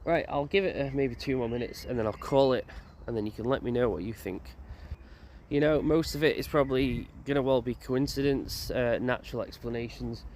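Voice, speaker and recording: A man's voice: medium pitch, fairly quick. A man walking through a graveyard as he vlogs, musing aloud in a hesitant way with many fillers and restarts. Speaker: paranormal investigator vlogger. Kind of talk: walking vlog commentary. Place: graveyard outdoors, walking a path. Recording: loud outdoor background noise. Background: outdoor noise and birds, a crow.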